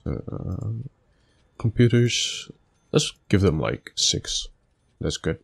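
A man with a slightly raspy, stuffed-up voice giving casual gameplay commentary, thinking aloud in a halting way. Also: lowish voice, animated. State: stuffy nose after being sick. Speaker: gaming video host.

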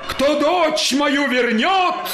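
A man's voice: very high voice, animated, drawn-out words, pushed and bright, roomy sound, soft background music, exaggerated voice. A man voicing a stately grey-haired prince and doting father in a cartoon, speaking grandly. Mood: indignant. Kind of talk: cartoon voice acting.

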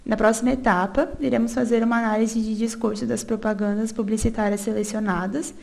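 A woman's voice: a little high, flat delivery, fairly quick, vocal fry, a little mellow. A young woman from Brazil reading a student research presentation over slides, with small restarts and a filler "é" before each new part. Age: young adult. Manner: reads steadily from notes with small restarts and filler "é".

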